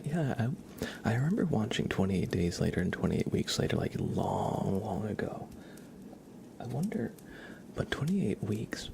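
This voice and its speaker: A man hosting an ASMR livestream, chatting casually and unhurriedly in a soft, gentle murmur that drifts into whispers. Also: low-pitched, noisy background. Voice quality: soft, breathy half-whisper. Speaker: livestream host reading chat.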